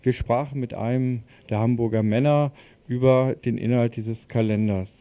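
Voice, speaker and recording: A man's voice: mid-range voice, fairly even intonation, normal speed, mellow. A man reading news summaries as a radio presenter.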